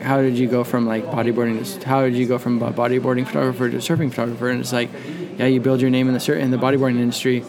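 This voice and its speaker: A man talking as a guest in a casual interview, rambling and halting, with the occasional swear. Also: medium pitch, little pitch movement, a crowd in the background. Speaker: guest, surf photographer.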